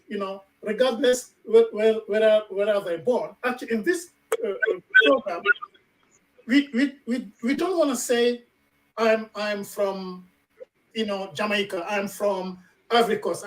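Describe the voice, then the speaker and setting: Man, radio host, halting speech, lots of fillers, distant mic. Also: sits high. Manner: halting, many fillers and repeats. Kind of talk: talk radio interview.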